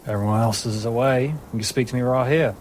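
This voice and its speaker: A man's voice: mid-range voice, fairly even intonation, quick pace, darkish tone, slight background noise, close to an everyday voice. A man voicing a wry young deer-man with a blunt, casual way of talking. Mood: wry, guarded.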